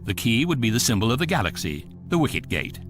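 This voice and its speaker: An actor playing the measured, dryly ironic narrator of a comic space guidebook in a radio drama. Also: male voice, medium pitch, very animated, over music, close to an everyday voice. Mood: dry, wry. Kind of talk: scripted narration.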